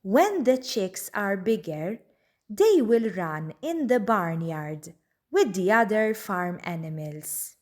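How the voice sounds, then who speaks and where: A woman reading a children's storybook aloud in a deliberate, expressive way. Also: medium pitch. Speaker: children's book reader. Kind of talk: read-aloud storybook narration.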